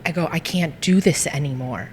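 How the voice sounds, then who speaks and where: Woman, 30s, first language Greek, robust lively storyteller, lowish voice, echoey reverb. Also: normal speed, a little hiss or hum. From United States. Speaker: guest, social media business owner. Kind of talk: casual interview conversation.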